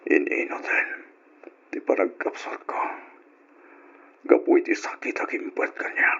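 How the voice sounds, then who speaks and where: A man acting an ailing, repentant lover in a radio drama, pleading haltingly in a weak, breathy, raspy voice. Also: bright, forceful tone. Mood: weak, remorseful. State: weak and sickly. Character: ailing, repentant man begging forgiveness from his former love. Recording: dull lo-fi radio drama audio.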